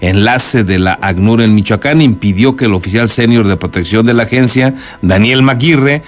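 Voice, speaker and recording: A man's voice: on the low side, normal speed. A man with a Mexican accent anchoring a news programme, mixing reports with spoken commentary. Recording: music bed behind the voice. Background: background music.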